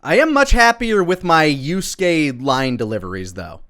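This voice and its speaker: A man thinks aloud in casual creator commentary, picking at his own work. His voice is slightly high and bright, with very animated intonation. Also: normal speed. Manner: self-critical, thinking aloud.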